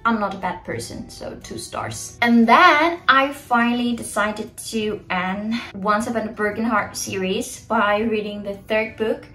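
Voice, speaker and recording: A woman's voice: a little high, unhurried, few pauses, pushed and bright, soft background music, rough recording. A young woman in her twenties whose first language is Indonesian, chatting to viewers in an opinionated book review vlog from her bedroom. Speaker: book vlogger. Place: bedroom in front of a bookshelf, indoors.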